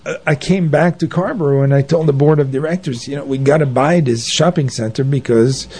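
An older man telling anecdotes as an interview guest, in a halting, conversational way. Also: mid-range voice, a little mellow, husky. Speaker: interview guest, arts organizer. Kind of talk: conversational reminiscing.

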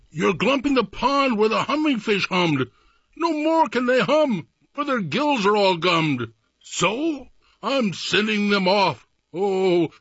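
A man voicing a small, cross old male forest creature who scolds in croaky, sing-song rhymes for a storybook reading. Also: sits high, a bit bright, no echo, highs cut off, voice pushed up, full character voice. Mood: indignant.

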